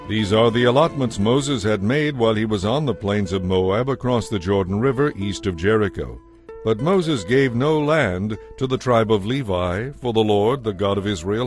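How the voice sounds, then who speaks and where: Low-pitched male scripture narrator over music. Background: background music.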